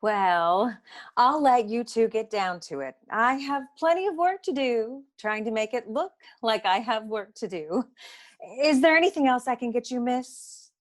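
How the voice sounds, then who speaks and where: An actress playing a bossy, chatty detective's secretary in a comic noir play, brisk, officious and wisecracking. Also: female voice, a little high, animated.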